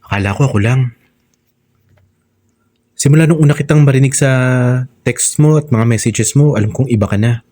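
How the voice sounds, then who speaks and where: A man's voice: mid-range voice, moderate pace, pauses often, mellow, pitched above the performer's own voice, close to an everyday voice. A Filipino man voicing a shy, lovestruck young male student in a storybook romance, wistful and earnest. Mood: confessing. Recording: music under the voice.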